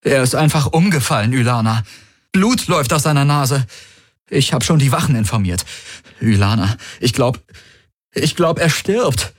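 A man's voice: medium pitch, expressive, a little slow, slightly rough, no echo, studio quality, pitched above the performer's own voice. A man voicing a male noble who feigns worry in a breathless, stammering, pleading way in an audiobook. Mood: panicked. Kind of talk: audiobook character acting.

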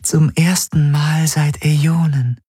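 A man voicing a sorrowful, devoted female apparition with a breathy, hushed, halting delivery, in an audiobook story. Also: a little high, little pitch movement, no echo, clean studio sound, pitched above the performer's own voice. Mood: regretful, afraid. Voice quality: breathy, whispery. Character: fading glassy dream apparition, female, sorrowful devoted servant.

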